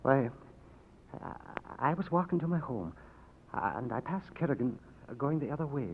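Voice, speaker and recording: A timid witness, played by a man in a 1940s radio drama, stammering meekly in a medium-pitched voice. The recording is thin and tinny with faint noise. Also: animated, close to an everyday voice. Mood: fearful. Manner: halting, meek, stammering. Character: timid, frightened, pious Irish male witness. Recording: thin, noisy old radio broadcast.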